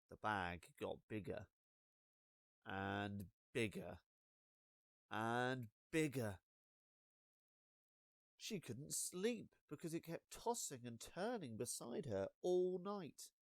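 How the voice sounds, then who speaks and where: A man reading a children's storybook as an expressive narrator, with deliberate dramatic pauses and drawn-out words. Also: medium pitch, gravelly, dry recording, clean studio sound.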